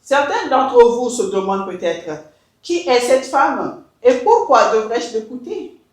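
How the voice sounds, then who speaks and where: A woman's voice: on the high side, sing-song. Emphatic woman in an echoey room. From Mali. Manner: emphatic, deliberate, switches into English. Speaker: education consultant. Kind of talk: scripted address to camera.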